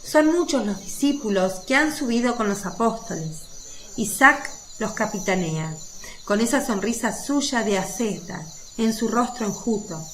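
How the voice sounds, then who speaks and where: A woman's voice: on the high side, expressive, unhurried, fairly bright tone, poor audio. A woman narrating a religious radio drama in a descriptive, literary reading style. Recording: faint background noise of birds and crickets.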